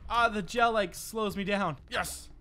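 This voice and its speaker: A man hosting a let's-play, commenting excitedly and swearing freely, often repeating phrases in quick bursts. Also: sits high. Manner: foul-mouthed, exclamatory, repeats phrases in bursts. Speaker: gaming video host.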